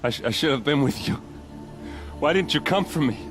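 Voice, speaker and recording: An actor playing a rough, self-blaming soldier and grieving younger brother, stammering and emotional in a video game drama. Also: male voice, fairly high pitch, animated, brisk, music in the background, lightly acted. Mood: anguished, guilty.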